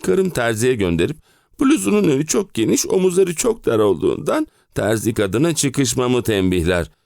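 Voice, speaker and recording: A man's voice: medium pitch, wildly swooping pitch, normal speed, gravelly, a little mellow, no echo, clean studio sound. An actor playing an exhausted middle-aged family man and clerk, complaining theatrically in an audio play. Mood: fed-up ranting. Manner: dramatic, complaining, rhetorical questions. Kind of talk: theatrical audio drama monologue.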